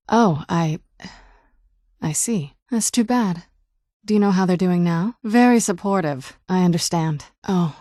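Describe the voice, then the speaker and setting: A woman's voice: lowish voice, a little mellow, no room sound. An actress playing a young female vampire companion in a video game, with a dry, guarded, sardonic manner. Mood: reflective, guarded. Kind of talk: video game character voice acting.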